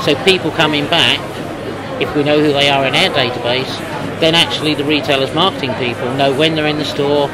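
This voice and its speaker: A man in his fifties being interviewed at a trade show, explaining things in a measured, businesslike way. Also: a little high. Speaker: company representative being interviewed. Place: busy exhibition hall booth. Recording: loud trade-show crowd noise. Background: loud crowd hubbub.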